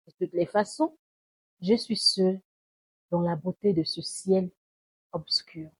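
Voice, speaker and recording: A woman recites a poem dramatically, in a solemn, mournful and deliberate way, swelling and hushing her phrases. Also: mid-range voice, husky. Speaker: poetry reciter. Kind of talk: dramatic spoken-word poetry recitation.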